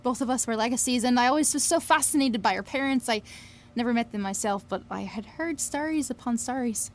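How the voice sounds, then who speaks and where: Actress as young woman, highish voice, brash, wistful, sweary, audio drama narrator. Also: brisk, a little hiss or hum, close to an everyday voice. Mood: fond, reflective. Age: young adult. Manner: sweary, wry, confiding. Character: Billie, brash, wistful young Scottish woman obsessed with fairies.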